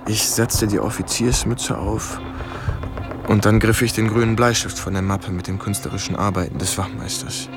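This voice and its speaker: An actor playing an anxious, pleading young American soldier in a radio drama, narrating and speaking in a tense way. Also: male voice, fairly low pitch, moderate pace, close to an everyday voice. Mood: reflective, tense. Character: cornered young American soldier John, anxious, pleading, posing as German officer. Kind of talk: radio drama acting and first-person narration. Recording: studio radio play with music underneath.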